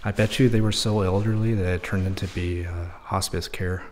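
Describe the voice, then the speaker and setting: A man's voice: low voice, steady tone, brisk, darkish tone, slight background noise. A man casually narrating a walkthrough of an abandoned house, recorded on a handheld camera mic indoors. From Texas. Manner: laid-back, remarks on what he sees. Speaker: urban explorer host.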